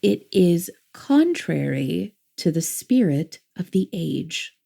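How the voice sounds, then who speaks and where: A woman acts out a middle-aged mother in an audiobook of a machine-run future. She is brisk, fussy and quick to disapprove, devout in her views, and her pitch moves in lively swings. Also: gravelly, heavily acted. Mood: shocked, dissuading. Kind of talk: full-cast audiobook acting.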